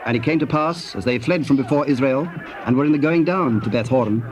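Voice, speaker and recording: A man narrating a scripted, dramatized scripture reading in a solemn, measured manner. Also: mid-range voice, darkish tone. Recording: muffled old cassette, faint noise.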